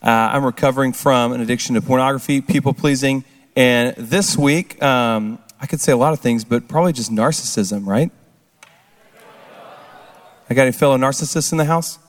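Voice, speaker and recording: A hoarse man speaks to a gathered church crowd in a relaxed, conversational way, often checking in with the audience. His voice sits at an ordinary middle pitch, and it rings out with the long reverb of a big hall. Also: moderate pace. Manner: casual, engages the crowd with questions. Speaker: speaker at a recovery ministry service. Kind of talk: conversational testimony talk.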